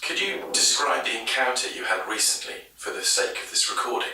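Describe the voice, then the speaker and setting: An actor playing a polite academic investigator, putting courteous, formal, probing questions to a witness in a taped audio drama interview. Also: male voice, mid-range voice, expressive, a little fast, pushed and bright. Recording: echoey, faintly noisy tape, distant microphone.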